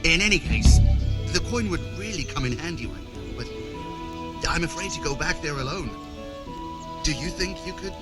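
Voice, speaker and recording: A man's voice: a little high, lively intonation, normal speed, pauses often, over loud music, natural voice. Humble, anxious medieval villager, played by a man. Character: poor, anxious, grateful married medieval refugee man. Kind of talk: video game character dialogue.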